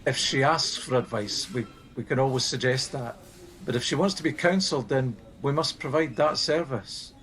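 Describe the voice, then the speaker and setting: A man's voice: mid-range voice, moderate pace, a bit bright, lightly acted, low-quality recording. A man playing a conscientious helpline team leader in a naturalistic radio play, reasonable and mildly defensive. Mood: measured, defensive.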